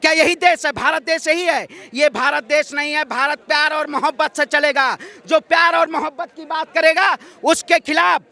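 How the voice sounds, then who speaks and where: Male activist, very high bright voice, combative street oratory. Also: fairly even intonation, normal speed, few pauses. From Ayodhya, north India. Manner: combative, declamatory, rhetorical questions. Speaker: protester, activist. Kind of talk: impassioned street interview, political speech. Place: outdoor street protest site.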